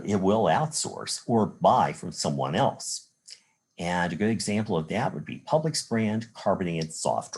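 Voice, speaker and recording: A man's voice: low voice, a little mellow, slightly rough. A man from the Chicago area with an American accent, giving a recorded college lecture as an instructor, explaining examples methodically step by step. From Chicago area, United States. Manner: methodical, step-by-step explaining with rhetorical "well" and "again".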